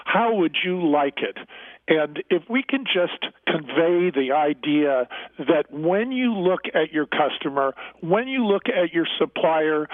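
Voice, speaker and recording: A man giving an interview over a phone-like line, telling stories conversationally with emphatic, measured phrasing. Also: fairly high pitch, no echo. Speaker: interview guest, business founder.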